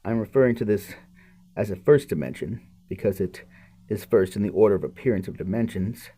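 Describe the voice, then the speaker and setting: A man's voice: on the low side, fairly quick, warm tone, slightly rough. A man hosting a podcast, reading a book aloud and breaking off into casual, musing commentary. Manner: musing, informal asides to the listener.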